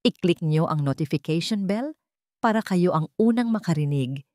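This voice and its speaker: Low-voiced woman storyteller, very expressive. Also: normal speed, no room sound, studio quality. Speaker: storyteller and channel narrator. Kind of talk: scripted dramatic story narration.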